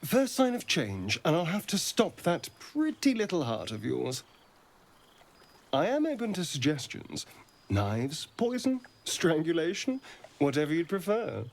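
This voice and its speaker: Suave, creaky-voiced sardonic vampire rogue. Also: male voice, fairly high pitch, very animated, measured pace, full character voice. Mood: sardonic menace. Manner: theatrical, silky, teasing delivery. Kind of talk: cinematic fantasy game-style acting.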